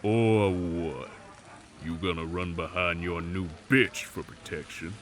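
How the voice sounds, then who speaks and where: A male actor plays a cocky supernatural brawler in an audio drama. His voice is low and he drawls very slowly, taunting and full of menace. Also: fairly even intonation, a bit bright. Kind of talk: audio roleplay drama acting.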